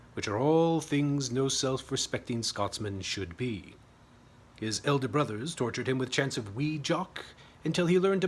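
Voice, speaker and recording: A man's voice: mid-range voice, breathy edge. A man reading his own short story as a scripted narration, with a wry, tongue-in-cheek manner.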